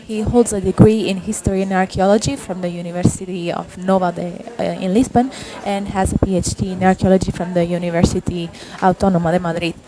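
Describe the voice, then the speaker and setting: A woman, moderating a seminar and briskly reading out a speaker's biography in English, stumbling now and then on names. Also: lowish voice, steady tone, background noise. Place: lecture hall with an audience.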